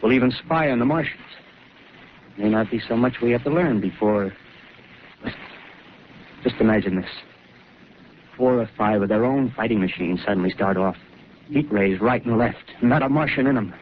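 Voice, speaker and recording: An actor playing a rough, scheming soldier who talks in rambling, feverish working-class slang, in a 1930s live radio drama over a tinny broadcast with hiss. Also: male voice, medium pitch, moderate pace, pauses often, natural voice.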